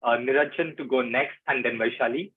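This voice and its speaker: A man's voice: mid-range voice, fairly quick. A man moderating an online panel, brief and inviting speakers in turn. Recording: tinny phone-like call audio.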